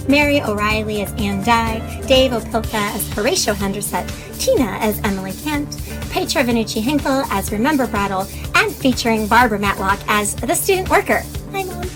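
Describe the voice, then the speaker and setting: A woman's voice: on the high side, expressive, fairly quick. A woman announcer reading scripted podcast credits. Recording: music loud behind voice.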